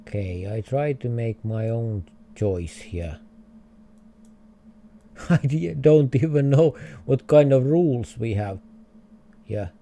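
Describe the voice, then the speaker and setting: Slow-talking Finnish man streaming a game. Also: medium pitch, lively intonation, dark tone, a little hiss or hum. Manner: thinking aloud, hesitant, chuckling. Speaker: livestreamer. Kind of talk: casual game livestream commentary.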